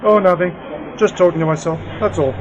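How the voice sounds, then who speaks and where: A man's voice: a little fast, a crowd in the background, lightly acted. A man acting a wry, skeptical sci-fi uncle, noisy recording. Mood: wry musing. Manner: dry, eccentric, self-amused. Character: wry, skeptical uncle, a travelling scientist-adventurer. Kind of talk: amateur sci-fi radio play acting. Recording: noisy home-made radio play, vehicle-like rumble.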